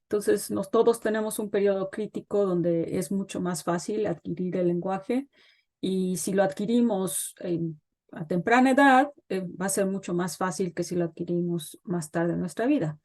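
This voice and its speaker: A middle-aged woman, a guest expert, explains child development in an interview, pausing often with fillers as she thinks. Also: mid-range voice, a little mellow. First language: Spanish. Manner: thoughtful, pauses with frequent fillers.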